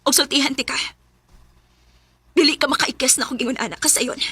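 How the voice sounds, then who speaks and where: A woman's voice: high-pitched, fairly even intonation, a little fast, sharp tone, no room sound. An actress with a Cebuano accent playing a hurt, abandoned young woman in a radio drama, speaking reproachfully and emotionally.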